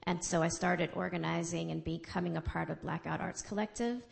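Woman from the Bronx, low pitch, heavy vocal fry, reflective panel speaker. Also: steady tone, a little fast. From the Bronx, New York. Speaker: panelist, community co-director. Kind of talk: panel talk.

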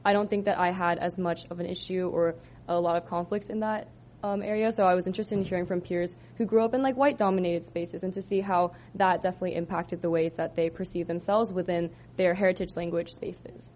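A woman's voice: lowish voice, fairly even intonation, brisk, a little mellow, a little hiss or hum. A woman in her twenties from Southern California presenting her senior thesis to an audience, casual with many ums and likes. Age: 20s. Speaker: student presenter.

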